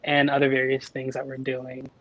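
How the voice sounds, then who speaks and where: A man's voice: medium pitch, monotone, moderate pace, fairly bright tone. A man from Washington state, a tenor, introducing himself casually. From Washington state, United States. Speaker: opera singer, tenor. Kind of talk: casual self-introduction chat. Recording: dull lo-fi call audio.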